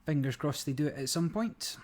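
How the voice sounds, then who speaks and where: Slightly croaky man casually commenting gameplay. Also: on the high side, brisk. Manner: relaxed, rambling, many fillers. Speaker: gaming channel host.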